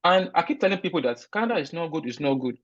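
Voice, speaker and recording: A man's voice: on the high side, expressive, fast, a bit bright. A man from Nigeria with a Nigerian accent, answering interview questions in an earnest, emphatic way with frequent restarts. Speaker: interview guest, recent immigrant. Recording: dull, lo-fi sound.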